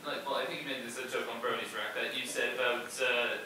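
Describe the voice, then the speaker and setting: A man in the audience asking a question, halting and nervous. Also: medium pitch, fairly even intonation, slight background noise. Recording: echoey hall, far from the mic. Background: loud crowd.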